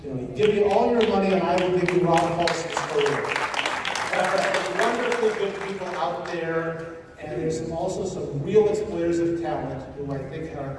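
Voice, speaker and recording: High-voiced man hosting in an echoey hall. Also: moderate pace, crowd noise. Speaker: panel host and moderator. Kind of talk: informal stage announcements. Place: large convention panel room, indoors. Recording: echoey convention hall, distant, noisy.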